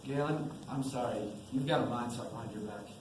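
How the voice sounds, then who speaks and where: Reassuring army captain acted by a male student, earnest, medium pitch, stage play, roomy recording with clear noise. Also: brisk, lightly acted. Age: teens. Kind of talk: amateur stage play acting. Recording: echoey, noisy stage recording.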